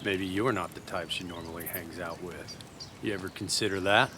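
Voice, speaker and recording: An actor playing a gruff, loyal, threatening outcast in a video game, curt and sarcastic in his delivery. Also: deep voice, steady tone, normal speed, background noise. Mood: hostile, sarcastic. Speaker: hostile local character.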